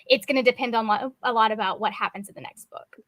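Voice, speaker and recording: A woman co-hosting a casual book discussion, talking quickly with animated, laughing asides over a video call. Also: fairly high pitch, dry recording. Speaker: book club co-host.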